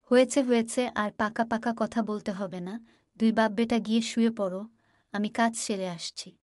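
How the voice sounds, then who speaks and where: A woman's voice: a little high, fairly even intonation, moderate pace, darkish tone, no room sound, studio quality, close to an everyday voice. A woman playing a patient, practical village mother in a cartoon, explaining things instructively. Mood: brisk. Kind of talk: cartoon voice acting.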